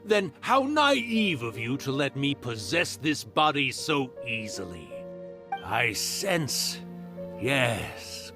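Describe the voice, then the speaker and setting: Einen prahlerischen alten Fuchsgeist. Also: male voice, a little high, exaggerated voice. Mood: menacing. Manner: theatrical, hammy villain voice, then genial and teasing. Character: mischievous old male fox spirit, theatrical and boastful. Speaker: yokai spirit character. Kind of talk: video game voice acting.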